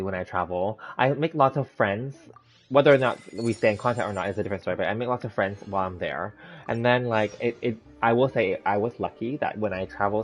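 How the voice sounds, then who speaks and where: A man in his thirties chatting casually with stream viewers, rambling through a story with lots of fillers and restarts, laughing easily. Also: lowish voice. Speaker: gaming streamer. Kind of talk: casual livestream chat with viewers. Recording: dull lo-fi stream audio.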